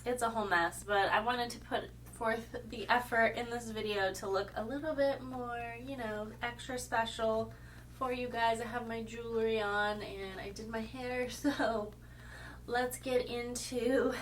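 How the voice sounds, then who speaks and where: A woman's voice: on the high side, steady tone, unhurried, distant mic, a little hiss or hum, poor audio. A woman with a cold and a slightly congested voice chatting casually through a makeup review, rambling and correcting herself as she goes. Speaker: beauty vlogger. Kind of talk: casual product review to camera.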